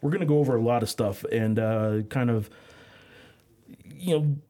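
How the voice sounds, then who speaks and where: A man with a soft-edged, mellow voice hosts a sports podcast. He speaks casually and conversationally, circling into his questions with rambling phrasing. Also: medium pitch, moderate pace. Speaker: podcast host, interviewer.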